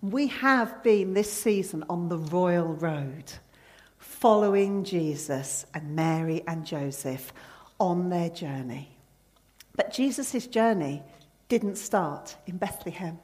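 A woman's voice: fairly low pitch, animated, slow, a little mellow. A woman preacher giving an earnest sermon, quoting scripture and hymn verses with emphasis.

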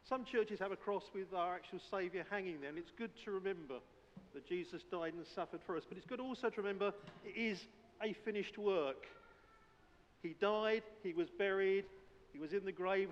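High-voiced preacher in a reverberant church. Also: male voice, a little hiss or hum. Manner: earnest, declamatory, in short emphatic phrases. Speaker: church elder leading a service. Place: indoor church service, speaking from the platform. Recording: muffled, long reverb of a church hall.

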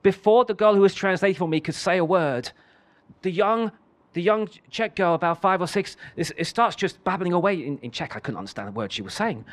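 A man preaches with a high, light voice. He tells his story in halting runs full of restarts, then presses his points home with firm, emphatic lines. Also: expressive. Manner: rambling storytelling with frequent restarts, building to emphatic declarations. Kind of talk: sermon with a personal story.

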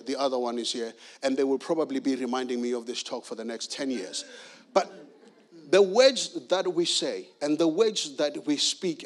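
Middle-aged guy from south africa preaching, emphatic, keeps repeating lines loud. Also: a little high. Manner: emphatic, repeats key phrases with sudden loud bursts. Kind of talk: sermon.

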